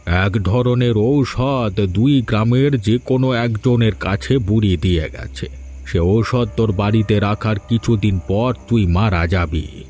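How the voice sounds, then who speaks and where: A man's voice: on the low side, a little slow, darkish tone, close to an everyday voice. A man voicing a stern village holy man in a cartoon fairy tale, making solemn, prophetic pronouncements. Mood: stern, ominous. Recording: background music under dialogue.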